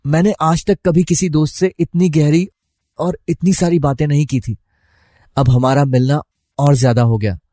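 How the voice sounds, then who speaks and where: Young male narrator for scripted first-person story, dark mellow tone, slightly high pitch, very dry recording. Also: steady tone, normal speed, no echo. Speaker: narrator playing a college student.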